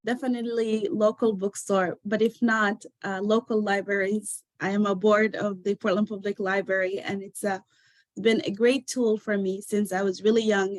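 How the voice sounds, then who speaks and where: A woman whose first language is Arabic, a poet reciting her own poem in a measured, earnest way over a video call. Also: medium pitch, no room sound. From Sudan. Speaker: poet guest.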